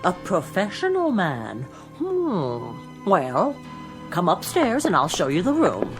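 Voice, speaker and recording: An actress playing a brisk, fussy, proper boarding-house landlady of an earlier century in a radio drama, formal and inquisitive. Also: lowish voice, wildly swooping pitch, over music, full character voice.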